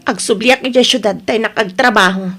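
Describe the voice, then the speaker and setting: A woman's voice: a little high, a little fast, over music, close to an everyday voice. A woman playing a headstrong, restless young wife in an audio drama, with an Ilocano Filipino accent. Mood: impatient, insistent. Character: headstrong restless young wife wanting city life, defiant.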